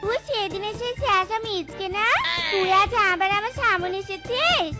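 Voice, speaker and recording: A woman's voice: very high-pitched, unhurried. A woman voicing an indignant married young woman in a cartoon who scolds a pest, music behind. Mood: scolding. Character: married young woman, scornful and sharp-tongued. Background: loud cartoon music.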